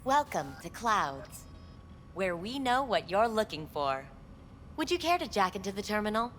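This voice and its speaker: A female receptionist at a futuristic pleasure club in a video game. She is poised and enigmatic, speaking in formal, measured phrases with a coy edge. Her pitch is middling, over a faint noisy rumble. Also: sing-song, fairly bright tone. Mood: coy, inviting. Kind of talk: video game voice acting, scripted dialogue.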